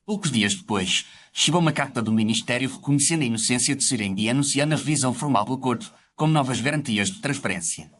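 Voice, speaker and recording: Reflective gentleman narrator, voiced by a man, quick pace, formal literary storytelling, faint background noise. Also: medium pitch, close to an everyday voice. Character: reflective, gentlemanly doctor narrating his memoirs. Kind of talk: scripted first-person story narration.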